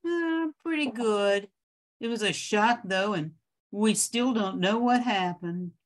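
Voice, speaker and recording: A woman's voice: mid-range voice, takes it slowly, natural voice. An older woman playing a polite, homespun widowed homemaker in a 1940s-style radio drama. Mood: polite, chatty. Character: genteel 55-year-old widowed New Orleans homemaker, plain-spoken. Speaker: amateur radio-play performer. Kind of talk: 1940s radio drama acting, read from script.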